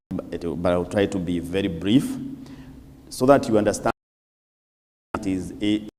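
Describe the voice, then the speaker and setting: Deep-voiced Kenyan priest in a church. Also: male voice, darkish tone, a little hiss or hum. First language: not English; English is his third language. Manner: measured, deliberate, with pauses and restarts. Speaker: visiting priest, guest speaker. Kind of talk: church talk to a congregation. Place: church hall. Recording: long church reverb.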